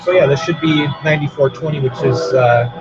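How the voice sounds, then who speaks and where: A man's voice: fairly high pitch, brisk. A man hosting a radio hobby recording, chatting casually and off the cuff. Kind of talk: casual off-the-cuff commentary while tuning. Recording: muffled, loud radio playing behind. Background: music from a shortwave radio.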